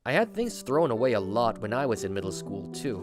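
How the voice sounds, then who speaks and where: A man's voice: mid-range voice, big pitch swings, fairly quick, lightly acted. A man voicing a kind, self-assured teenage schoolboy who narrates his own story in an anime-style dub, earnest and a little smug. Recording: music bed behind voice.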